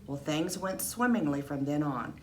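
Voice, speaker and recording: A woman's voice: low voice, animated, normal speed, slight background noise. A woman reading a children's story aloud as a teacher would, narrating in the first person.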